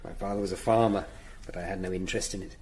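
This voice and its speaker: An actor playing a gentle, concerned Tudor lawyer in a period radio drama. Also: male voice, deep voice, steady tone, fast, a little hiss or hum, natural voice. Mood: gentle, coaxing.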